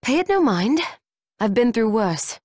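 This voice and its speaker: A woman playing a resolute, composed young heroine in a video game, speaking in a measured, earnest way. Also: fairly high pitch, very animated, darkish tone, no room sound, studio quality. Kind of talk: video game character acting. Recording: music behind the voice.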